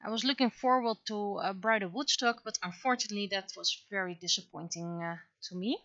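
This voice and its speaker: A woman's voice: mid-range voice, a little fast, pushed and bright, no echo, muffled recording. A middle-aged woman, a native Dutch speaker, chatting casually and thinking aloud as she draws on a livestream. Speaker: streamer and webcomic artist. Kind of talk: casual livestream chat while drawing. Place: indoors at a computer.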